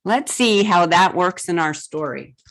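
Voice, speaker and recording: A woman's voice: on the low side, animated, measured pace, fairly bright tone. A woman reading stories aloud to young children on a video call, in simple, encouraging phrases. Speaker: children's storytime reader. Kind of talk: animated read-aloud storytelling to children.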